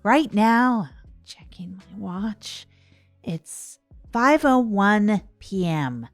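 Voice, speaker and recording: A woman's voice: mid-range voice, no room sound. A woman playing a self-deprecating radio host in an improvised comedy audio drama, conversational and given to digressions. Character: chatty, rambling radio show host chronicling self-improvement attempts. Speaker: host and narrator.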